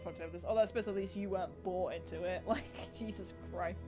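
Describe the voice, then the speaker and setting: A woman's voice: fairly low pitch, fast. A woman reacting casually to a game as she plays, blunt, sweary and sarcastic. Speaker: gaming video host. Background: game music.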